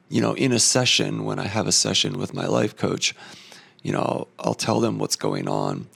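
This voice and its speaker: Hoarse, gravelly-voiced man musing casually. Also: on the low side. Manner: thoughtful, rambling, many fillers. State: losing his voice, tired. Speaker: vlogger. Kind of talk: casual personal monologue.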